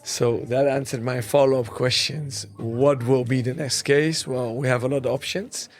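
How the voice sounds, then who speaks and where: A man hosting a conversational interview, deliberate in how he lays out his points. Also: mid-range voice, steady tone. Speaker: interview show host.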